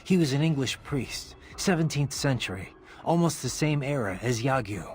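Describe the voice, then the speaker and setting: A man's voice: medium pitch, animated, moderate pace, natural voice. A man playing a battle-hardened cyborg swordsman in a video game, earnest and philosophical. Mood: reflective, conversational.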